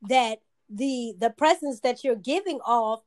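A woman with a naturally high-pitched voice, co-hosting a casual chat and talking earnestly with emphasis. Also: a little slow, darkish tone.

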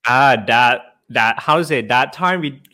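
Male guest, Thai accent, non-native English, halting and word-searching. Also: mid-range voice, pushed and bright. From Thailand. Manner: searching for words, hesitant, often says "how to say". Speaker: Thai teacher and translator, guest. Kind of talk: casual podcast chat.

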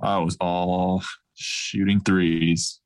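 A man in his thirties, a professional basketball player, answering interview questions in a relaxed, thoughtful way. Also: deep voice, drawn-out words, a little hiss or hum. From grew up across Asia, mostly Taiwan.

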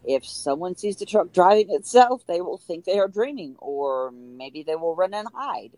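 A woman's voice: fairly low pitch, normal speed, no echo, low-quality recording. Audio play troublemaker, acted by a woman: carefree, reckless, conversational, swooping intonation, dry close sound. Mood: carefree, plotting. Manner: lively, conversational. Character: carefree, reckless female joyrider and schemer. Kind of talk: amateur audio drama dialogue.

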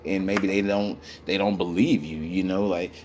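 A man with a naturally deep voice delivering a rambling, repetitive, profane comedic rant, full of fillers. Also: steady tone, moderate pace, background noise.

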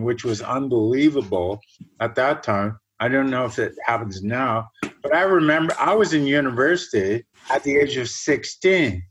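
A man in his sixties, born in Germany, answering interview questions as a guest scientist in a measured, reflective way. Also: mid-range voice, a little hiss or hum, rough recording. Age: 60s. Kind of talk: podcast interview.

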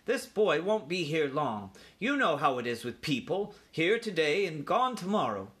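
A man's voice: a little high, sing-song, normal speed, a bit bright, pitched above the performer's own voice. A man voicing a cautious, self-important uncle mouse in a storybook, stern and grumbling. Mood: objecting, stern.

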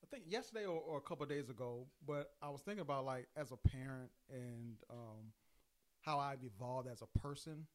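A man hosting a casual group conversation as a therapist, prompting questions in a relaxed way with filler words. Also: medium pitch, brisk. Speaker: therapist and podcast host.

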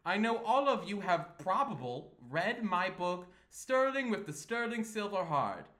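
Man hamming up a pompous, flamboyant, high-voiced adventurer. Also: sing-song, a little slow, a bit bright, exaggerated voice. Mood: boastful. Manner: pompous, theatrical. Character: flamboyant, self-important male adventurer addressing his audience. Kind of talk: hammy stage monologue read aloud.

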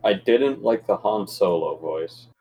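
Lowish, mellow man in casual chat. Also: expressive, measured pace, breathy edge, poor audio. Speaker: podcast co-host.

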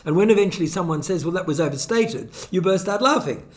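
A man's voice: sits high, expressive, fast. An older man, a guest philosopher holding forth in a wry, expansive academic conversation.

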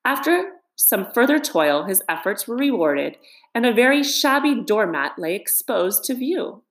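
A woman reading a children's story as scripted narration, in an expressive manner. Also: on the high side, measured pace. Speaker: storybook reader.

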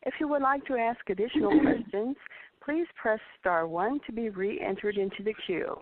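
A woman's voice: mid-range voice, moderate pace, slight background noise. A woman working as a conference call operator, reading scripted instructions to participants. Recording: dry, tinny phone line.

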